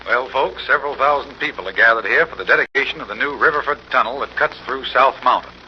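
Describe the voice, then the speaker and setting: An American man playing an earnest, excitable on-the-scene reporter in a 1940s radio drama, announcing formally in a projected voice. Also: mid-range voice, quick pace, lightly acted. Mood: upbeat, formal. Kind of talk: 1940s radio drama, live news commentary. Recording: muffled old radio, faint noise.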